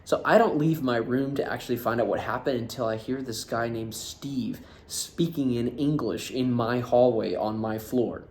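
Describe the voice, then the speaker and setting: An American man in casual storytelling, animated and laughing as he acts out what people said. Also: mid-range voice, normal speed, few pauses, slight background noise. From United States. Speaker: vlogger.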